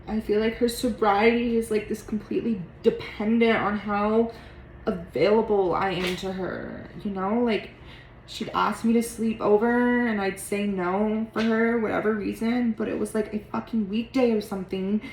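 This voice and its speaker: A halting, guilt-ridden young woman with vocal fry. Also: fairly low pitch, darkish tone, roomy sound, a little hiss or hum, rough recording. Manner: halting, hesitant talk full of fillers. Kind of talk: intimate drama dialogue.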